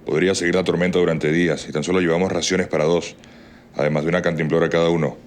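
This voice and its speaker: A man's voice: low-pitched, level tone, fast talker, slight background noise, natural voice. A man playing a stern army sergeant, firm and commanding, in an amateur audio drama. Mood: severe, urgent.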